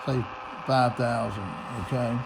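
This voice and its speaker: A man's voice: mid-range voice, steady tone, unhurried, gravelly. A man giving a casual, unscripted hands-on radio tutorial, narrating each step as he goes. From United States. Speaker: radio hobbyist demonstrating a receiver. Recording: noisy, shortwave radio static behind. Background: radio static and noisy broadcast.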